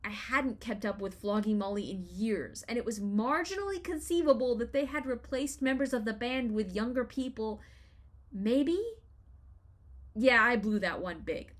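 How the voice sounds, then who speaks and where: An American woman in her thirties with a fairly high voice reads her own personal essay aloud. She narrates in the first person from a script. Her storytelling is expressive and wry. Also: moderate pace. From Los Angeles, United States. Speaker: writer reading their own personal essay.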